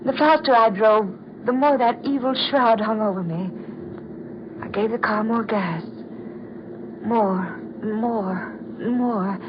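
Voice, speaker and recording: Haunted soprano in noisy vintage radio horror. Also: female voice, a little high, lo-fi sound. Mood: mounting dread. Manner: theatrical, dramatic, lingering pauses. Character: troubled soprano star haunted by dread and lost love. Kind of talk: old-time radio horror drama acting.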